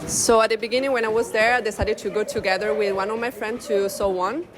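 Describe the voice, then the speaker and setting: Fast-talking young woman over music. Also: medium pitch, steady tone. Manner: halting, searching for words. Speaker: summer school student. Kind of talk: casual testimonial.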